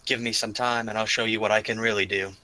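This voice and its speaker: A man's voice: on the low side, level tone, quick pace, bright, forceful tone, rough recording. A man voicing a proud, honor-bound orc warrior in a tabletop game, speaking formally and boastfully.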